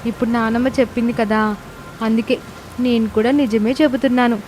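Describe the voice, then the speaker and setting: A woman's voice: a little high, steady tone, fairly quick, a little mellow, slight background noise, close to an everyday voice. A woman voicing a candid, chatty schoolchild talking to a parent in a cartoon moral story.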